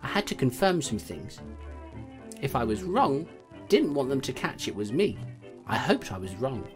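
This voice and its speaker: A woman's voice: a bass voice, sing-song, moderate pace, over music. A woman voicing a small clawed creature investigator, telling a grim story in clipped noir style for an audio drama. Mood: tense, regretful. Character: small clawed creature investigator, streetwise, grimly self-deprecating narrator.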